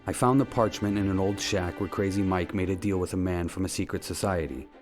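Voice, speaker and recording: An actor playing a polite, earnest amateur investigator in an adventure game, speaking in a courteous, measured way. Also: male voice, low voice, steady tone, lightly acted. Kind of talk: scripted point-and-click game dialogue. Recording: music under the dialogue. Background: background music.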